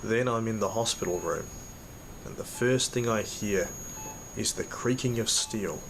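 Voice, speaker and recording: An actor playing a badly injured, weary man, narrating his ordeal in halting fragments over heavy noise in an audio drama. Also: on the low side, creaky, rough recording. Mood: weary, subdued. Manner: halting, broken into short fragments. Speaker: narrator and lead character. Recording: loud noise behind the voice.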